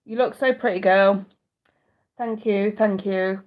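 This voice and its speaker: A woman's voice: mid-range voice, fairly even intonation, slow pace, dull audio. A middle-aged woman with a blocked nose chatting casually with viewers on a live stream, swearing now and then.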